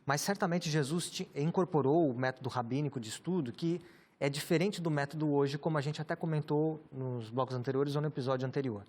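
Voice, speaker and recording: A man with a Brazilian Portuguese accent, a pastor teaching about the Bible in a measured, explanatory way, often ending phrases with a questioning tag. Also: fairly high pitch, darkish tone. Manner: measured, explanatory, with many rhetorical tags.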